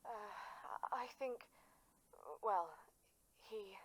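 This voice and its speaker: A woman playing a shy, gentle young nurse who stammers hesitantly as a caller in a radio drama. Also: medium pitch, drawn-out words, a crowd in the background, poor audio. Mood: nervous. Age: 20s. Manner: hesitant, stammering, soft-hearted.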